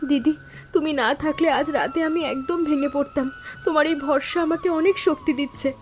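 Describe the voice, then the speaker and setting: A woman playing a timid, earnest young sister-in-law in an animated story, with an anxious, pleading and deferential manner. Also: high-pitched, a little fast, soft background music, close to an everyday voice. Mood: grateful, trusting.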